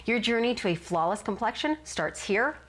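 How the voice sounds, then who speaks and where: A woman's voice: fairly high pitch, lively intonation, moderate pace, fairly bright tone. A woman vlogger explaining skin care, sounding a little nasal while getting over a cold. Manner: earnest, explanatory. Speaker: skincare vlogger. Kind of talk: casual instructional talk.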